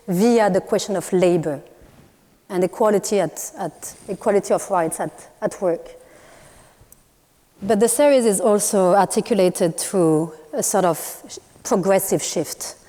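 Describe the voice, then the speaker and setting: A woman's voice: medium pitch, normal speed, warm tone. Thoughtful woman speaking in a reverberant hall. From Morocco. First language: Moroccan Arabic. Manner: thoughtful, hesitant with many fillers and restarts. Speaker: artist in a panel talk. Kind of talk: public talk at a university event. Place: lecture hall.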